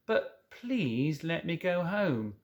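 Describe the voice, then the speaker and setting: A man reading a storybook in the part of an earnest, kindly, innocent young girl, gentle and questioning. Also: a little high, very animated, slow pace, heavily acted. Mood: polite.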